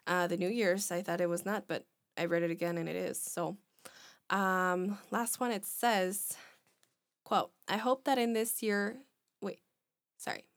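A woman in her twenties in casual chat on a podcast, talking in a rambling, halting way with many restarts. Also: on the low side, fairly even intonation, no echo, studio quality. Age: 20s.

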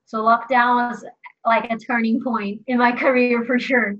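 A young woman from Brazil chats easily about her music, with lots of fillers and a laugh slipping into her sentences. Her voice sits a bit high. The recording is a little dull and lo-fi. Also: steady tone, unhurried, a bit bright. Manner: chatty, frequent "like" fillers, laughs while talking. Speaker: musician guest. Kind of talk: casual interview chat. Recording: slightly dull lo-fi sound.

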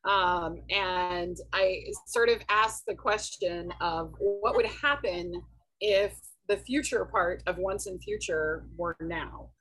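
A woman guest on a podcast talks about her writing at an easy, thoughtful pace, pausing often to gather her words. Her voice sits on the lower side and has a husky, breathy edge. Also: fairly bright tone, poor audio. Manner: thoughtful, hesitant with many ums. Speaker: author guest. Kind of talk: casual podcast interview.

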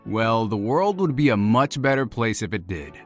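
A man's voice: lowish voice, big pitch swings, normal speed, soft background music. An actor playing a cocky, flippant young womanizer in an audio drama, teasing and sarcastic. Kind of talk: naturalistic audio drama acting.

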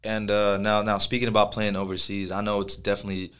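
A man's voice: fairly low pitch, level tone, fast, a bit bright, smooth. A young man from Nigeria hosting a casual interview, easygoing and conversational. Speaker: interviewer, host.

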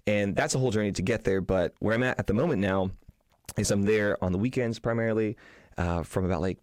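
A young man chatting casually as a podcast guest, his voice slightly hoarse, thinking aloud informally as he tells stories. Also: on the low side, fast talker, darkish tone, dry recording. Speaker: street magician, podcast guest. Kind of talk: casual conversational storytelling.

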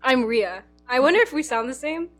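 A woman's voice: sits high, lively intonation, a little fast, faint music behind. A young woman co-hosting a casual podcast from a college dorm room, laid-back and giggly, often trailing off, into a small headphone mic. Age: young adult. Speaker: podcast co-host. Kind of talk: casual chat. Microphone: small headphone mic on a phone.

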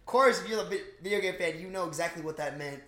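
A man with a fairly high voice talks in a roomy, echoey space. He chats casually and animatedly as a podcast co-host, full of slang and swearing, often addressing his friend as "bro.". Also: fast talker, bright, forceful tone. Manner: slangy, profane, peppers talk with "bro". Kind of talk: casual, animated movie recap chat.

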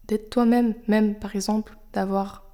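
A young woman with French as her first language hosts a personal podcast, thinking aloud in a soft, confiding way that sometimes sinks nearly to a whisper. Her voice is warm and mellow and sits at a middle pitch. She sounds reflective and unhurried, like someone sharing her own thoughts with listeners. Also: flat delivery. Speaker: podcast host.

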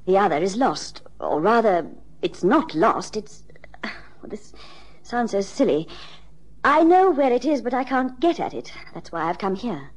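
A woman's voice: medium pitch, big pitch swings, a little slow, poor audio. An actress playing a genteel, well-to-do woman in her thirties in an old radio drama, proper and a little hesitant. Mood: polite, hesitant.

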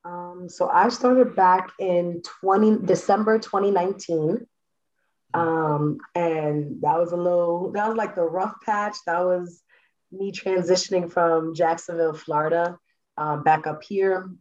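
A woman's voice: lowish voice, steady tone, unhurried, a little mellow, dull audio. An outgoing, chatty woman from the Bronx, New York, talking as a podcast guest about hosting. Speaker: guest, Airbnb superhost. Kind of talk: casual podcast chat.

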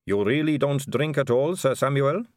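A man voicing an aristocratic lady vampire in an audiobook, smooth, measured, knowing and condescending. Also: medium pitch, expressive, dry recording, clean studio sound, pitched above the performer's own voice, lightly acted. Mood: probing, superior. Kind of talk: audiobook character dialogue.

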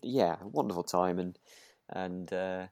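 British man, relaxed and closely recorded. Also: sits low, a little slow, dry recording, clean studio sound. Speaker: podcast co-host. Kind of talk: casual chat recapping a radio drama.

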